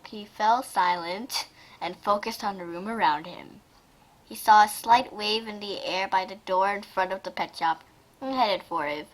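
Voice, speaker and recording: A woman's voice: medium pitch, a little slow, rough recording. A woman casually reading fan fiction aloud and reacting to it, with a giggly, exclamatory manner and frequent asides. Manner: giggly, exclamatory, often breaking off mid-sentence to comment.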